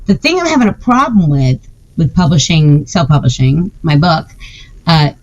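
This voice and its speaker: A woman with a fairly low voice talks in a casual podcast chat. She is insistent and emphatic and often says her point twice. The sound is dull and lo-fi. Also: lively intonation, normal speed, darkish tone.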